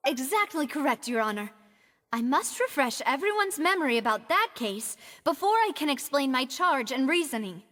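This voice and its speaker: A woman's voice: high-pitched, sing-song, moderate pace, fairly bright tone. An actress playing a determined young woman accuser in a video game, speaking earnestly and firmly. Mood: resolute. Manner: earnest, firm, articulate. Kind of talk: scripted game dialogue.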